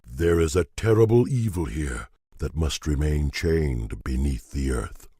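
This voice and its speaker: Ancient stern guardian: very low, husky, slow, booming and forbidding, fantasy game. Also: male voice, lively intonation, warm tone, no room sound, clean studio sound, heavily acted. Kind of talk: dramatic video game acting.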